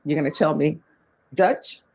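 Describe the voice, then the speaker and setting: A woman judge in a courtroom, friendly and plainspoken, spelling out clear step-by-step instructions. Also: deep voice, measured pace, darkish tone. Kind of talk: presiding over court hearings.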